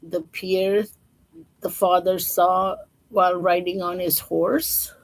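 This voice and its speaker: A woman's voice: on the low side, little pitch movement, slow, low-quality recording. A woman storyteller reading a children's picture book aloud, with informal, chatty asides to her listeners.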